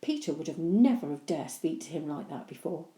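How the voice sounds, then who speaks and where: Expressive woman storyteller in an echoey room. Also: deep voice. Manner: animated, deliberate. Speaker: children's story reader.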